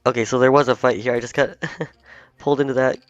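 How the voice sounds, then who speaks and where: A man hosting a gaming video, slightly hoarse while recovering from illness, chatting and thinking aloud as he plays. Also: mid-range voice, steady tone, quick pace, dry recording. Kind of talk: casual let's-play commentary. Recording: dull, dry home recording.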